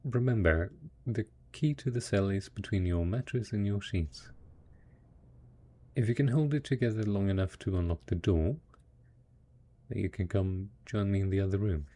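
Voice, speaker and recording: A man acting as a devoted, hopeful survivor in an intimate audio roleplay, talking tenderly and coaxingly to a spouse, with a husky, gravelly voice. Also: lowish voice, normal speed, mellow, lightly acted. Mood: weary, gentle.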